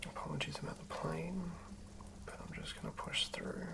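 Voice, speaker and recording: A person whispering softly and haltingly in an unhurried ASMR style, as a host talking through and reading tabletop game material. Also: medium pitch. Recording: lo-fi whisper with clear background noise.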